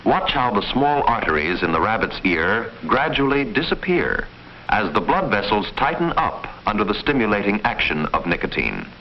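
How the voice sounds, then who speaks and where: A man reading formal, measured, didactic scripted narration in the style of a mid-century educational film. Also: lowish voice, very animated. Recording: muffled, noisy old film soundtrack.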